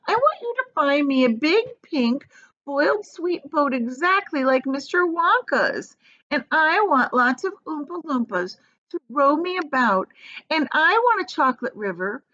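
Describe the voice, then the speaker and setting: A woman's voice: sits high, sing-song, measured pace, sharp tone, no echo, lo-fi sound, pitched above the performer's own voice, full character voice. A woman voicing a spoiled, demanding little rich girl in a children's storybook, whining and insistent. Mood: demanding, whiny. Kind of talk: children's storybook read-aloud.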